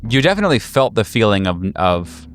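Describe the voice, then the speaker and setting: A man acts as game master, improvising narration for a horror tabletop game. His voice is on the low side, with faint music behind it. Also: very animated, brisk, fairly bright tone.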